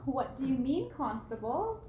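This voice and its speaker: A woman's voice: sits high, big pitch swings, normal speed. A woman playing a puzzled, innocent young daughter in a stage farce, heard with dull, echoey sound. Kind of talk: stage farce play reading. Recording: echoey room, dull sound, faint noise.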